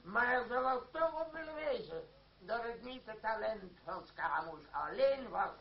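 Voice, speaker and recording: A man's voice: sits high, expressive, a little slow, creaky, heavily acted. An actor playing a pompous, sentimental old father with ponderous oratory in a radio drama. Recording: muffled old radio play, crowd noise.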